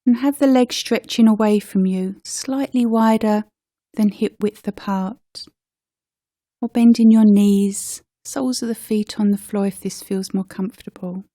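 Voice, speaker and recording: A woman leading a guided meditation as a teacher, speaking gently and unhurriedly with pauses between short phrases. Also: mid-range voice, steady tone, no echo, clean studio sound.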